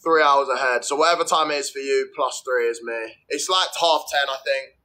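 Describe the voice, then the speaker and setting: Brash swearing young man, bright voice. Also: medium pitch. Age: young adult. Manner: brash, swearing, fast slang banter. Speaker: livestream host. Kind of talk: crude livestream chat. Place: indoor room. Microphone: phone at arm's length.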